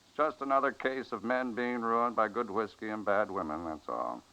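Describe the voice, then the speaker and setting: An actor playing a crusty older storekeeper of the American frontier, folksy and scolding, in 1950s radio western style. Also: male voice, lowish voice, normal speed, pushed and bright, smooth. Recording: dull vintage radio recording.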